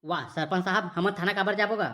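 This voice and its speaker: Woman voicing a fast-talking, jittery Chhattisgarhi village lad. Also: mid-range voice, a bit bright, natural voice. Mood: fretting. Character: jittery, wisecracking young village men in trouble. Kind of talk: Chhattisgarhi comedy cartoon voice acting.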